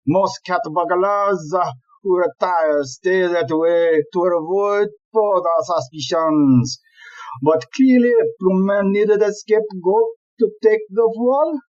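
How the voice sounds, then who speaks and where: An actor playing an exasperated, blustering chief police inspector in a comic audio play, with an emphatic, deliberate, ranting delivery. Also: male voice, sits high, a little mellow, muffled recording. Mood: indignant explaining.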